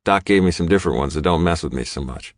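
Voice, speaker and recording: A man performs a stubborn young outlaw biker with a deep voice. He is hot-headed and forceful, barking and growling his lines in a dry, close audiobook sound. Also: lively intonation, fast talker, dry recording. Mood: angry, defiant.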